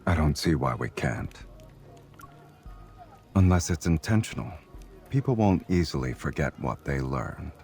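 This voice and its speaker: A suave romantic lead from a romance game, with an extremely low voice. He is confident, affectionate and teasing, talking intimately and without hurry over faint music. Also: sing-song, measured pace, long pauses, a little mellow, full character voice. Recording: music behind the voice.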